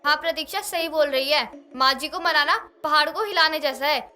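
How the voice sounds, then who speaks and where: A woman voicing a scheming, persuasive young daughter-in-law, coaxing and insistent, in an animated moral story. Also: high-pitched, brisk. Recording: music behind the voice.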